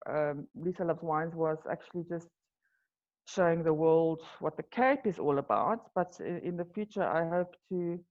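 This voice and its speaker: A woman's voice: low voice, moderate pace, a little mellow, lo-fi sound. A woman from South Africa, an Afrikaans native speaker with a South African accent, answering interview questions in a casual, hesitant way with many ums and restarts. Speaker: wine tour company founder, guest.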